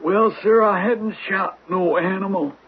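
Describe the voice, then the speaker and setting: A man's voice: high-pitched, measured pace, husky. A man in a radio drama playing a folksy, rambling American detective who poses as an affable hunter. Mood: rambling, uneasy. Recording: thin phone-like old radio, noisy.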